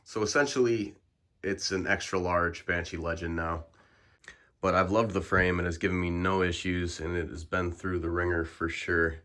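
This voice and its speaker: Deep-voiced sniffly young man. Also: normal speed. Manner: relaxed, filler-heavy, explanatory. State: sniffly from flu. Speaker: bike vlogger. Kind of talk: casual bike check walkthrough.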